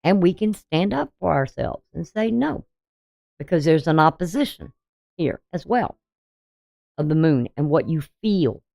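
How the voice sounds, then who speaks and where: A woman with a naturally deep, low voice talks through a daily astrology reading in a blunt, conversational way, often tossing in "you know". The sound is dry and close, with no room echo. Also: lively intonation, a little slow, creaky, mellow. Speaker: astrology channel host.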